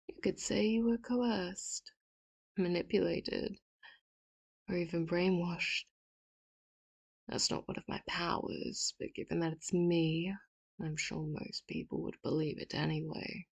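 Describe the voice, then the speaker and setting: A woman playing a softly menacing, doting villain. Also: fairly low pitch, gravelly, slightly rough, muffled recording, heavily acted. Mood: coaxing. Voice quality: soft and intimate, often whispered. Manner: sweetly manipulative, with quiet laughs. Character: possessive, doting female villain, calm and menacing. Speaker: ASMR roleplay voice actor. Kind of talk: audio roleplay, addressing the listener.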